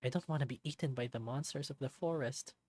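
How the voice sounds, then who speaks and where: A man reading a visual novel aloud as narrator, in an expressive, dramatic storybook style. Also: mid-range voice, fast.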